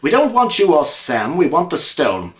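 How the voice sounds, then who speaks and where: A man playing a brisk, confident, teasing detective in an audio drama, with quick, theatrical speech. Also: a little high, wildly swooping pitch. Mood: reasoning, firm.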